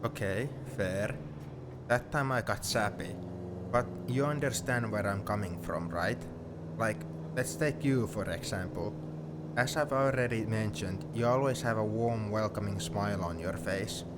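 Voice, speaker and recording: Man acting a low-voiced young friend, car noise. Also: unhurried, lightly acted. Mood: mock offended, teasing, then earnest, curious. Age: young adult. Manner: reflective, playfully defensive. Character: teasing, sentimental young man heading to university. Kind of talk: intimate ASMR roleplay, one-sided conversation. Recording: steady car noise behind the voice.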